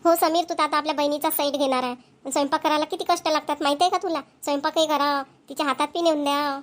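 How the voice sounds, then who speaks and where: A woman plays an overworked young daughter-in-law in a cartoon family comedy. Her voice is high and quick, full of grumbling. She chatters colloquially, like someone forever fed up with chores. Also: fairly even intonation, natural voice.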